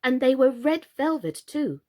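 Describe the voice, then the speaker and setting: A woman's voice: high voice, very animated, a little slow, darkish tone, pitched above the performer's own voice. A woman reading a storybook, voicing a bossy young girl who is scornful and determined, with an emphatic, decisive delivery. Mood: eager, impatient.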